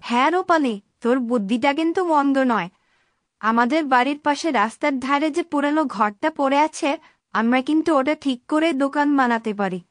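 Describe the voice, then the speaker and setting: A woman's voice: high voice, fairly quick, no room sound, clean studio sound, close to an everyday voice. A woman voicing a firm older sister. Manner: firm, decisive. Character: resolute older sister, hotel cook.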